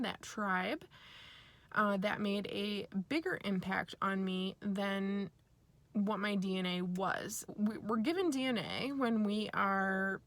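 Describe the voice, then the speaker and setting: A woman speaks at a slow, deliberate pace with a mid-range pitch. She gives a reflective talk on personal and spiritual matters, often pausing partway through a phrase. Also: fairly bright tone. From born and raised in Nigeria to American parents. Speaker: speaker sharing personal origin story.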